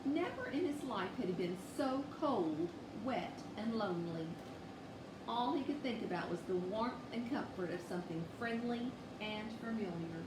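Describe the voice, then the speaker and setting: A woman reading a children's bedtime story aloud with expressive, measured delivery. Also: medium pitch. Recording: echoey room, distant mic, noisy background.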